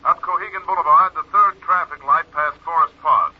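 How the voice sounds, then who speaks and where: An actor playing a guarded, formal wealthy man heard husky through a telephone in an old radio drama. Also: fairly high pitch, fairly quick. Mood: guarded, polite. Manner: polite, formal, cautious. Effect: telephone filter. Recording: thin filtered telephone line.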